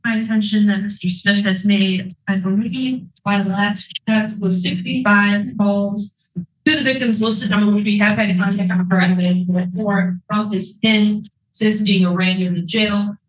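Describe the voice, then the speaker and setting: A woman's voice: mid-range voice, fairly even intonation, measured pace. A woman with a mid-range voice argues as a prosecutor in court. The sound is roomy and echoey, and somewhat dull. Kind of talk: spontaneous courtroom argument.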